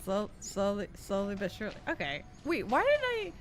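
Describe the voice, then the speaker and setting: A woman giving casual gameplay commentary as a streamer, thinking out loud and often second-guessing herself in a giggly way. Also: mid-range voice, huge pitch swings, normal speed. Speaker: game streamer. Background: game music and character voice lines.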